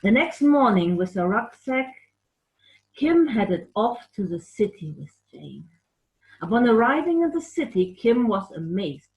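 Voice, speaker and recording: A woman reads a story for children at an unhurried pace. She is expressive and animated, and pauses to explain things and chat playfully with a puppet. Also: fairly high pitch, poor audio. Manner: animated, explanatory, makes playful sound effects.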